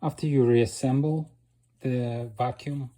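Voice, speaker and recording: A man's voice: medium pitch, steady tone, takes it slowly, dark tone. A man explaining a repair job informally as an instructor, checking in with the listener as he goes. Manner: explanatory, checks in with "okay" and "right". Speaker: DIY motorcycle repair instructor. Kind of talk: informal hands-on tutorial narration.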